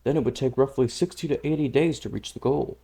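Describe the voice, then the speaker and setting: A man playing a formal, serious official in a comic dub, speaking in a measured way. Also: mid-range voice, mellow, natural voice. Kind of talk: comic-book dub voice acting.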